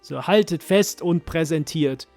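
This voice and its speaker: Dry, higher-voiced man explaining. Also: a little slow, a little mellow, dry recording, faint music behind. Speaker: host explaining game voice lines. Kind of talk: casual language commentary.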